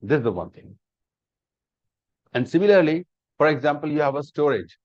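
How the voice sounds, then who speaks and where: A man's voice: a little high, big pitch swings, normal speed, dry recording. A man, teaching a live online class on cloud computing and explaining step by step with many repeats and rhetorical questions. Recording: dull lo-fi dry online class audio.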